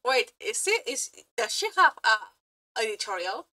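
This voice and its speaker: Woman, reaction host, casual and exclamatory, lively high pitch, loose swearing. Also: a little slow, faint music behind. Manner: exclamatory, giggly, swears freely. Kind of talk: casual excited live reaction commentary.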